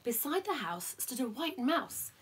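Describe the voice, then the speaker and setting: A young woman reads a bedtime story to her toddler in a small bedroom, recorded on a camera close in front. Her voice is bright and a little husky, lively and coaxing, dropping to quiet asides. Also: high-pitched, a little slow. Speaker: parent reading a bedtime story. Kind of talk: reading a picture book aloud to a toddler. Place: child's bedroom at home.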